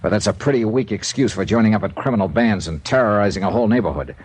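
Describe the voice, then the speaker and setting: A man's voice: lowish voice, fast talker, darkish tone, breathy edge. An actor playing a blunt, hard-boiled male insurance investigator in an old radio drama, pressing questions and narrating. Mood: stern. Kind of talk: 1950s radio drama acting.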